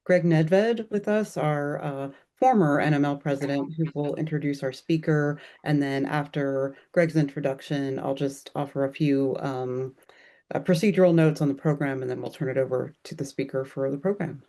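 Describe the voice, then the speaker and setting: A woman's voice: low-pitched, normal speed, warm tone. A woman moderates an online program on a video call, giving procedural notes with frequent ums.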